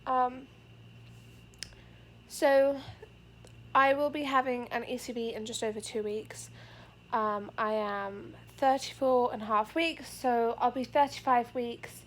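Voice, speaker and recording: A woman's voice: on the high side, steady tone, slow, pauses often, a little hiss or hum. Breathless young woman rambling about pregnancy. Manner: rambling, many fillers, earnest. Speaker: vlogger. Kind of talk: casual pregnancy update vlog. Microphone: camera facing the speaker.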